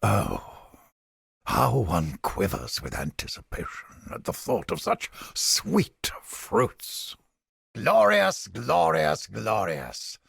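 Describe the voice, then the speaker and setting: A man playing a cynical, sardonic, smugly godless inquisitor scholar in a video game, with a gravelly, husky voice and deliberate, formal, theatrical delivery. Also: fairly low pitch, wildly swooping pitch, a bit bright, no room sound, clean studio sound, heavily acted. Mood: relishing, nostalgic. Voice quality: gravelly, husky, breathy edge.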